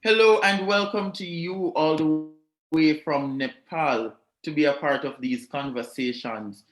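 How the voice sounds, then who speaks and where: Male conference host, slightly high voice, friendly and welcoming, roomy video-call audio. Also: measured pace, faint music behind. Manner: friendly, welcoming, runs through logistics. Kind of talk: hosting an online youth conference. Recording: roomy echo.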